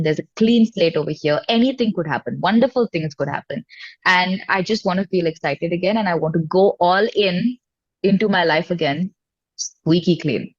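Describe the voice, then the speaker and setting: A woman's voice: on the low side, no echo. A woman musician talking candidly as an interview guest, earnest and emphatic, often repeating phrases for weight. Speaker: singer-songwriter, interview guest. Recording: dry but slightly muffled audio.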